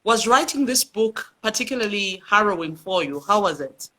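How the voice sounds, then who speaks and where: A woman's voice: medium pitch, animated, normal speed, pushed and bright. A woman hosting an online literary interview, giving short acknowledgements and reading out questions from the audience. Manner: brief backchannels, reads audience questions. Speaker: host.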